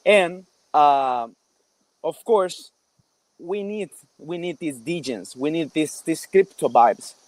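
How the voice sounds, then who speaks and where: Higher-voiced man, halting delivery. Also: low-quality recording. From El Salvador. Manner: halting, repeats words as he searches for phrasing. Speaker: guest, developer and product builder. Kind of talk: casual interview chat.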